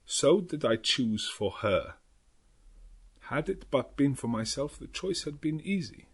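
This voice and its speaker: A man voicing an elderly professor and vampire hunter in an audiobook, solemn and anguished, with slow, halting foreign phrasing. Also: mid-range voice, lively intonation, husky. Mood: solemn dread. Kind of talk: audiobook character narration.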